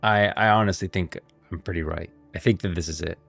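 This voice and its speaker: A male streamer with a low voice full of fry talks through game lore in a casual way. The sound is dry and close, with music underneath. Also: steady tone, brisk, fairly bright tone.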